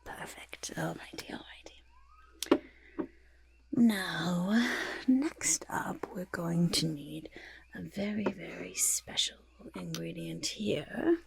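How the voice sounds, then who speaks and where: A woman voicing a boastful, eager goblin in a soft-spoken ASMR roleplay, with a raspy half-whisper and fussy, repetitive phrasing. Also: lowish voice, sing-song, takes it slowly, pauses often, slight background noise, heavily acted. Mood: proud, instructive. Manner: speaks of self in third person, fussy, emphatic repetition. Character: boastful, eager goblin potion-peddler of playful temper.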